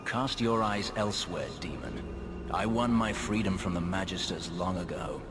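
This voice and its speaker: Brooding escaped-slave elf , male actor: low, measured, bitterly wry, game cutscene with long reverb. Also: natural voice. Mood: defiant, tempted. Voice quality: deep, low voice. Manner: measured, formal, wryly understated. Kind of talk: dramatic video game acting. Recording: long reverb, music behind.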